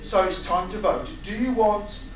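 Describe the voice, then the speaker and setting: A man's voice: high-pitched, expressive, moderate pace. A British man hosting an informal audience brainstorm, exasperated, sweary and bantering. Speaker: host taking audience suggestions. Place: large echoey indoor event room with audience. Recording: echoey room, far mic, crowd chatter.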